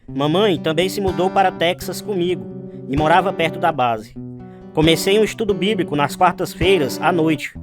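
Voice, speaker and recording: A man plays an earnest young Navy man in a radio drama, recounting his life with devout sincerity. His voice sits fairly high for a man. Guitar music plays clearly behind him. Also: moderate pace, natural voice. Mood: reflective. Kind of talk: radio drama narration with acted dialogue.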